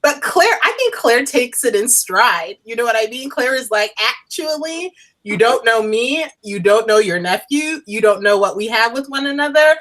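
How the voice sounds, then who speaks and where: Woman co-host, lively swooping pitch, emphatic chatty review talk. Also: moderate pace. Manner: animated, emphatic, restates points. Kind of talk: casual review chat.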